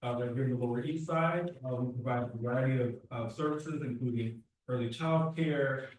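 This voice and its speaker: A man, a youth program director giving earnest, quick testimony with restarts at a public meeting. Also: mid-range voice, a little hiss or hum. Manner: earnest, talks fast with restarts. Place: community board meeting room. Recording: echoey room, far from the mic.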